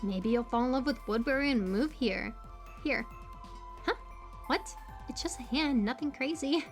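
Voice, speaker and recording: A quirky young woman, played by a voice actress, chatty and high-pitched, in a casual audio roleplay over music. She rambles playfully with bubbly energy, like a friendly small-town local. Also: moderate pace, lightly acted. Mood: playful, eager.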